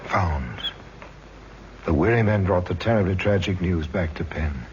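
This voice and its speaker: A man narrating an old time radio crime drama in a measured, grave, dramatic way. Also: sits low, animated, dull audio, soft background music. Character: grave, worldly narrator of true crime tales.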